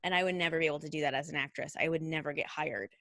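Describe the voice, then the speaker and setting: A woman in her thirties talks in a low voice with a bright, forceful edge. She chats loosely and lets "like" and "you know" run through her sentences. The sound is dry and close. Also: very fast, no echo. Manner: chatty, many fillers like "like" and "you know". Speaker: guest, costume designer. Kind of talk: casual conversational interview.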